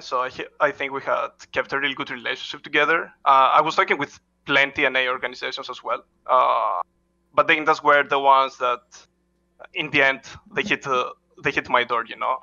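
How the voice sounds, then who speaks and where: Gravelly, bright-voiced man, halting speech. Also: medium pitch, lo-fi sound. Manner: halting, frequent "uh" fillers and restarts, non-native phrasing. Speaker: head coach, interview guest. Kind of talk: casual interview answers.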